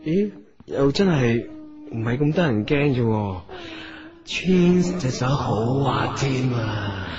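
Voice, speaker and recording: A man's voice: mid-range voice, lively intonation, slow pace. A male actor with a Hong Kong Cantonese accent playing a timid, nervous, hesitant man in a radio comedy drama. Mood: tentative, relieved. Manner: hesitant, stammering. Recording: slightly dull audio over music.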